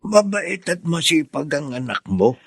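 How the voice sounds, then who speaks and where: An actor playing a kindly, calm older male neighbour with a gravelly voice in a radio drama. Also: a little high, unhurried, dry recording, clean studio sound, full character voice. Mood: calm, concerned.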